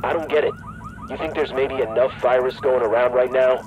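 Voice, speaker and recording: A male actor as a skeptical associate in a video game, with a mid-pitched voice. He is pointed and disapproving, and clear background noise sits behind him. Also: steady tone, a little fast, highs cut off, natural voice. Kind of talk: cinematic video game acting.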